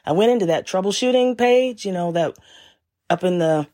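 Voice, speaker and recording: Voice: mid-range voice, expressive, normal speed, mellow. Laid-back, wry podcast storyteller. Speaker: podcast host. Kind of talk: casual storytelling monologue.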